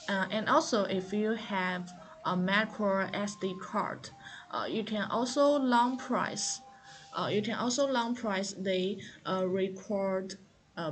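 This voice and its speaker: A woman giving an unscripted product tutorial, explaining step by step in a halting, hesitant manner. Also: mid-range voice. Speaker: product demonstrator.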